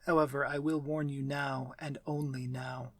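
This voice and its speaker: A man playing a courteous, commanding vampire lord in an intimate ASMR roleplay, speaking formally in a measured, breathy, near-whispered voice. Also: mid-range voice, little pitch movement, a bit bright, a little hiss or hum, natural voice. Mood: quiet menace. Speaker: audio roleplay voice actor.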